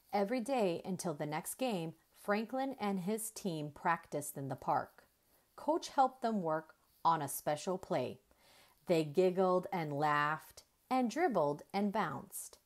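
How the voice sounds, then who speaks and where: A woman narrates a children's picture book aloud. Her voice is on the low side and swings widely in pitch. She takes her time, shaping each sentence expressively. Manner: deliberate, animated storytelling with emphasized dialogue. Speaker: children's storybook reader.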